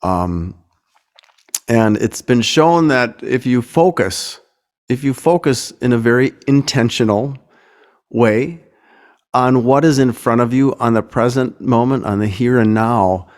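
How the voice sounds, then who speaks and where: An American man giving an informal, reflective talk, thoughtful and halting as he searches gently for words. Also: medium pitch. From United States. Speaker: guest singer-songwriter speaking to a congregation.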